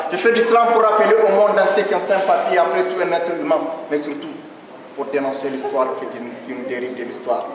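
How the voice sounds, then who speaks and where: A young man from Niger with a West African French accent, performing slam poetry in a rhythmic, declamatory way. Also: fairly high pitch. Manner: rhythmic, declamatory, gestures while reciting. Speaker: slam poet. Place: large hall with tiled floor, audience seated. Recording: echoey hall from far off, noisy.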